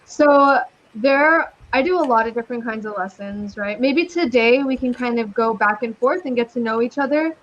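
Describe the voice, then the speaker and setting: A woman's voice: a little high, normal speed, muffled recording. Upbeat young Californian woman tutor. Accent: Californian American. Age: young adult. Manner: upbeat, chatty, uses casual slang. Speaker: online English tutor. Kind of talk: casual video-call lesson. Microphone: video call.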